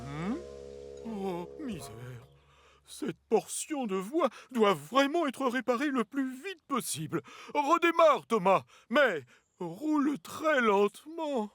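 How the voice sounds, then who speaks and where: A man's voice: extremely high pitch, big pitch swings, slow, heavily acted. A man voicing a pompous, flustered middle-aged railway boss in a children's cartoon, with a blustering, exclamatory way of talking. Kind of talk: animated children's cartoon dub.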